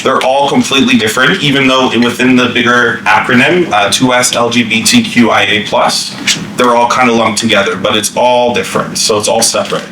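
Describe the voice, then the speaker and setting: Male workshop presenter, bright voice, loose informal delivery, picked up from a distance in an echoey room. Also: mid-range voice, fairly even intonation, a little fast, a little hiss or hum. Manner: informal, many fillers and restarts. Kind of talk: staff training presentation. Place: meeting room.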